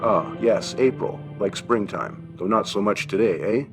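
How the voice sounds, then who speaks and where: A man's voice: fairly low pitch, a little slow, over music, close to an everyday voice. A man voicing a kindly, wry old German shopkeeper in an audiobook story, with a thin accented voice and avuncular questions. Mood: genial. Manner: avuncular, chuckling, rhetorical questions.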